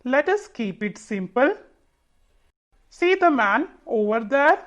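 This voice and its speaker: A woman voicing a calm, warm, gently teasing male sun in a children's storybook, unhurried in manner. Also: high-pitched, lo-fi sound, pitched below the performer's own voice, heavily acted.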